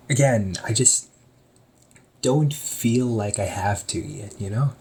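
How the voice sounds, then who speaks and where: A man's voice: on the low side, takes it slowly. A young man playing an easygoing shop owner talking to his sibling in a one-sided audio roleplay, teasing and laughing often. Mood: wistful.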